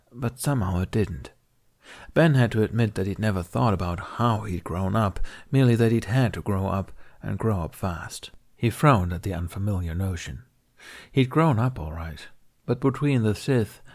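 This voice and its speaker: A man's voice: fairly low pitch, a little mellow. A man reading a scripted story as an audiobook narrator, in a dramatic narrative style.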